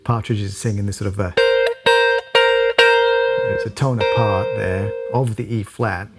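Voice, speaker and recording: Low-voiced man explaining music theory. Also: a little slow. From South Wales. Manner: relaxed, thoughtful, casual with fillers. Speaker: music teacher. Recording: song playing loudly behind.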